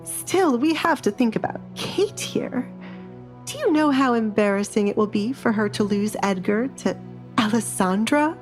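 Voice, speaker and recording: Woman acting a haughty, indignant high-voiced noblewoman. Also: animated, unhurried, faint music behind. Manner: haughty, accusing. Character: indignant scheming stepmother and jealous spoiled sister. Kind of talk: audio drama acting.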